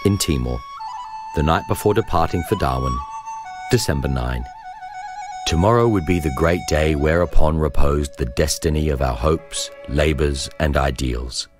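A man reading a first-person memoir as scripted narration, with measured, deliberate phrasing. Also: extremely low pitch. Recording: music behind the voice. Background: music.

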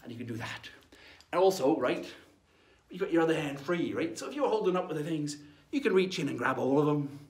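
A man's voice: a little high, expressive, fairly quick, darkish tone. A man playing a jolly, boastful old pirate storyteller, rambling along in a folksy, theatrical way as he hosts a playful lesson. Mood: chatty, instructive. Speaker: host of pirate-themed lessons. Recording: roomy echo.

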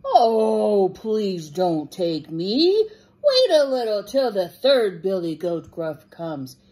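A woman's voice: mid-range voice, big pitch swings, slow, darkish tone. A woman voicing a middle-sized male billy goat in a storybook, earnest and pleading in a fuller voice. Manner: earnest, firm, not so small a voice.

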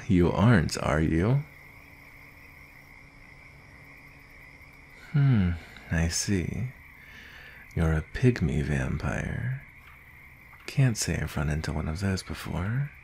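A man voicing a gruff werewolf bounty hunter with a soft side, in an intimate ASMR roleplay, with a deep gravelly voice and a murmuring, deliberate delivery. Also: expressive, a little hiss or hum, full character voice. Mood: puzzled, softening. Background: nature ambience.

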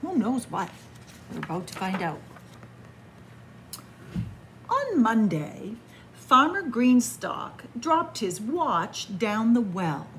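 Warm-up librarian type, middle-aged woman, bright higher voice, playful story reading in a library. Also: sing-song, takes it slowly, a little hiss or hum. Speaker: children's librarian. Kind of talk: picture book read-aloud. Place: library, in front of bookshelves. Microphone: camera at close range.

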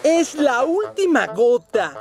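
A man's voice: very high voice, sing-song, slow, crowd noise, heavily acted. A man voicing a proud, grumpy little steam engine in a Latin American Spanish cartoon dub, with an indignant, huffy manner. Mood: irritated. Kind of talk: animated children's series dub. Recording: music behind the voice.

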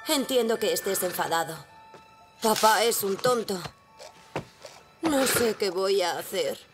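Una mujer con acento castellano que interpreta a una niña testaruda y expresiva en un doblaje de dibujos animados. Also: female voice, medium pitch, a little slow, close to an everyday voice. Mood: troubled, determined. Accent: Castilian Spanish. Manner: earnest, expressive. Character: determined, headstrong young girl who rides horses. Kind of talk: animated children's cartoon dubbing. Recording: loud music behind the voice.

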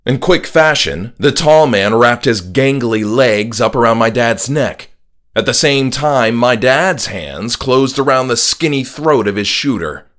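A man's voice: mid-range voice, lively intonation, a little slow, a bit bright. A man narrating a horror audiobook as its author, in a dramatic scripted style. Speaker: author narrating his own horror story. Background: music.

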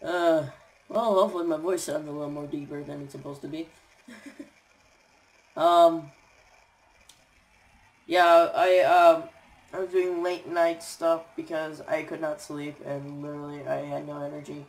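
Young man, raspy, stuffy-nosed, somewhat high voice, tired rambling game commentary, desktop mic. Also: flat delivery, measured pace, long pauses, rough recording. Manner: rambling, tired, many fillers. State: stuffy nose, sore throat. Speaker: gameplay commentator. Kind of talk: casual gameplay commentary.

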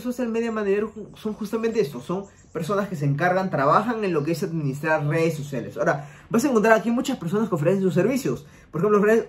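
High-voiced man guiding a screen tutorial. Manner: instructive, repetitive, addresses viewer directly. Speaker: online money-making YouTuber. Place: home room, gaming chair.

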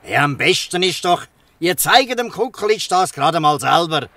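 A man's voice: a little high, lively intonation, moderate pace, sharp tone, dry recording. An actor playing a fatherly, shrewd, bluff fisherman in a Swiss German radio play. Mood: kindly, persuasive. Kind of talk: Swiss dialect radio drama acting. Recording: slightly distant from the mic.

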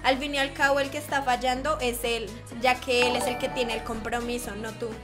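A high-pitched young woman chats casually to her live-stream viewers through a headset, answering messages with easy affection. Music plays clearly underneath her voice in a small home streaming room. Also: little pitch movement, brisk. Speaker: game streamer. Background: background music.

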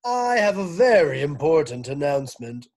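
A blustering, self-important principal barking announcements, loud and a bit raised in pitch, put on by a male reader. He lectures with pompous certainty. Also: slow pace.

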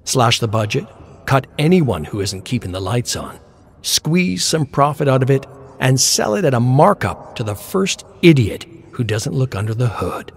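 Male narrator for dramatic scripted fiction, mid-range pitch. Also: sing-song, normal speed, faint music behind.

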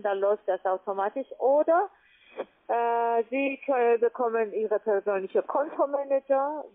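A woman who is not a native speaker, giving a formal, halting telephone sales pitch. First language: not German. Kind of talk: sales pitch on a cold call. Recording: tinny, narrow phone line.

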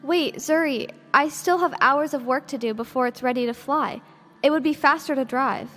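A woman's voice: sits high, normal speed, fairly bright tone, long reverb tail, soft background music. A woman in an audio drama playing a cautious, stubborn shuttle engineer, exacting and argumentative. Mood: firm, exasperated.

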